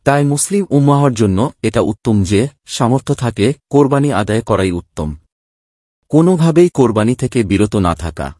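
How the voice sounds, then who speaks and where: A man reading a scripted religious narration in a formal, measured manner. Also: medium pitch, lively intonation, mellow, no room sound, clean studio sound.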